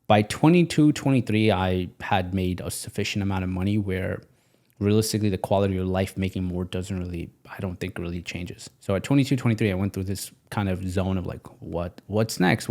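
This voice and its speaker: A man's voice: low-pitched, darkish tone. A man in his twenties from Pakistan, talking energetically and emphatically as a podcast guest, often repeating his phrases. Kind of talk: casual interview talk.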